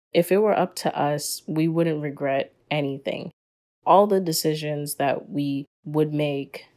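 A woman's voice: sits low, darkish tone. A young woman hosting a solo podcast episode, talking in an earnest, opinionated way as she explains her views. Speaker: podcast guest host.

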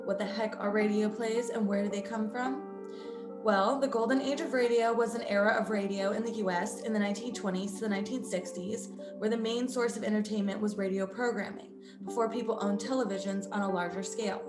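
A woman's voice: mid-range voice, steady tone, brisk, roomy sound, over music. A woman hosting a podcast, reading a scripted introduction. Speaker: podcast host.

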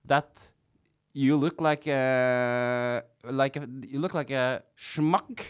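A man speaks as a podcast guest, a clown by trade. His talk is halting and full of restarts, with a gently teasing edge. His pitch sits in an ordinary middle range. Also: clean tone. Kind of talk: casual conversation.

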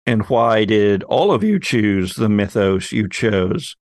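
A man's voice: on the low side, very animated, takes it slowly, dry recording. A man hosting a casual panel discussion on a podcast. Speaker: podcast host.

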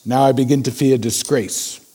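An actor playing an earnest, aging Victorian gentleman in a period audio drama, with a formal, courteous and measured delivery. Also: mid-range voice, steady tone, breathy edge, close to an everyday voice. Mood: troubled, grave.

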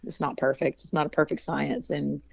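A woman's voice: low voice, little pitch movement, a little fast, gravelly, no room sound, highs cut off. A woman, a singer chatting casually in an interview, rambling and laughing often. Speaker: singer and band leader.

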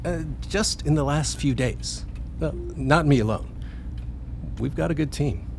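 A man's voice: a little high, unhurried, creaky, slight background noise. A man playing an enthusiastic, sweary hacker researcher in a radio play, explaining things casually. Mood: casual, proud. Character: excitable, laid-back hacker researcher, obsessed with mysterious transmissions.

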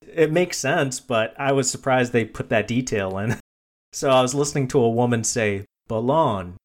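A man with a medium-pitched voice hosts a relaxed, conversational podcast. He talks casually and likes to tease, dropping jokey asides as he goes. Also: moderate pace. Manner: jokey, teasing. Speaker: podcast host. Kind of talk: casual film discussion.

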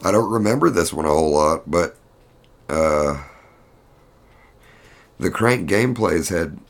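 Casual male podcaster, blunt and rambling, very deep voice, unhurried delivery. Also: expressive, gravelly. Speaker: podcast host. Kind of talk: casual fight recap.

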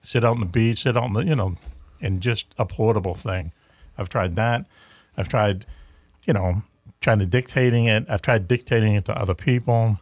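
A man with a Boston accent hosts a casual talk show. His voice sits on the low side, and the sound is dry and close. He rambles, with plenty of fillers and restarts. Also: normal speed, no echo. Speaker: radio show host.

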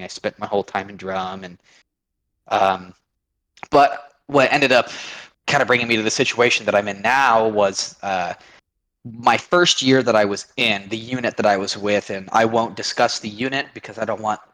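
A man's voice: on the low side, a bit bright, low-quality recording. A man in his twenties from Oregon, a guest on a podcast, telling his story in a rambling way with many restarts. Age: 20s. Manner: rambling, frequent restarts and fillers. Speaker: guest, army veteran. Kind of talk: casual podcast interview.

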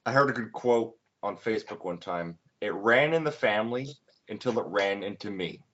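Twenty-something male podcast host, low voice, loose rambling delivery full of "um"s, dull lo-fi recording. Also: animated, normal speed, fairly bright tone. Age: 20s. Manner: rambling, many fillers and restarts. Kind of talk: casual chat. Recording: dull lo-fi sound.

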